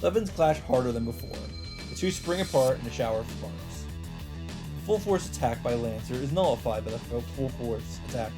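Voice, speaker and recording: A man's voice: medium pitch, normal speed. A man reading visual novel narration aloud dramatically as a let's player. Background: plucked-string game music.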